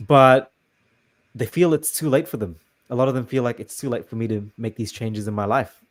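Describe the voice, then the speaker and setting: Male host, middle pitch, halting and agreeable, interviewing over a video call. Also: steady tone. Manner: hesitant, many restarts and fillers, agreeable. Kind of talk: casual interview chat.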